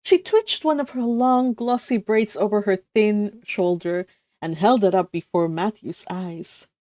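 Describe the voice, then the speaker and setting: A woman reading a children's novel aloud as an expressive storybook narrator. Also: medium pitch, unhurried, no room sound, studio quality. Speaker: audiobook narrator.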